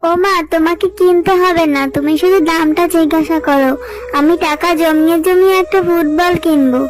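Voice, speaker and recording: Woman as a very high-pitched little fox girl. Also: fairly even intonation, normal speed. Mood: pleading. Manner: childlike, coaxing, earnest. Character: sweet, pleading little fox girl who longs for a football. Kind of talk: cartoon dubbing.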